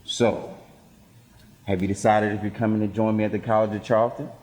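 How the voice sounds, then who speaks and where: A man's voice: on the low side, flat delivery, a little fast, a little mellow, close to an everyday voice. A man playing a laid-back, affectionate college student boyfriend in a stage play. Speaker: stage actor.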